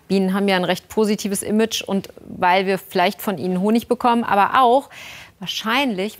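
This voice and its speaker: A woman presenting a news segment in a light, conversational way. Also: mid-range voice.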